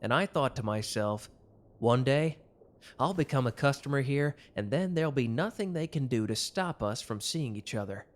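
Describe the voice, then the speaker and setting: A man's voice: mid-range voice, expressive, normal speed, lightly acted. A man playing a protective, devoted mafia lover with a hot temper, speaking earnestly in an intimate romantic audio drama. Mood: tender, reflective.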